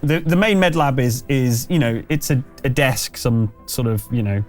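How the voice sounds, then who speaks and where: A man's voice: medium pitch, normal speed, faint music behind. A man running a tabletop roleplaying game, narrating off the cuff and chatting casually, with hesitant, trailing phrasing and self-corrections. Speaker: game master.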